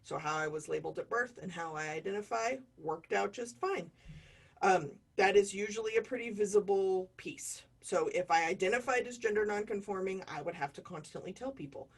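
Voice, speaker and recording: A woman's voice: medium pitch, a little fast, vocal fry, slightly rough. A woman in her forties from the United States, giving an online diversity training in a chatty, self-deprecating way with jokey asides. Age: 40s.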